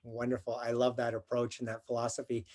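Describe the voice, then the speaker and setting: A man hosts an interview with a local business owner. He sounds friendly and encouraging and asks his questions in a relaxed way. His voice sits in a middle range for a man. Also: medium pitch, brisk. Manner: friendly, encouraging, laughs along. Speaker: business coach, interview host. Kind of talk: hosting an interview.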